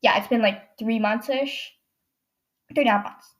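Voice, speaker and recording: A woman's voice: on the high side, moderate pace. Stuffy-nosed teen girl podcaster rambling. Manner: rambling, many fillers and self-corrections. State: stuffy, congested nose. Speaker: podcast host. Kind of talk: casual storytelling monologue.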